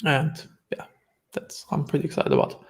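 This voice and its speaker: A man's voice: a little high, wildly swooping pitch, moderate pace, vocal fry. A man with a German accent, a guest entrepreneur telling stories in an earnest, reflective way during a casual interview.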